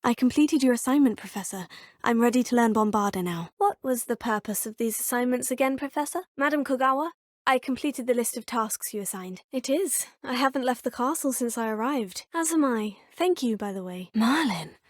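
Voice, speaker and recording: A young woman voicing a polite, self-assured female witch student in a video game, with measured, proper phrasing. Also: fairly high pitch, no room sound, clean studio sound, lightly acted. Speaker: player character. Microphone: studio mic.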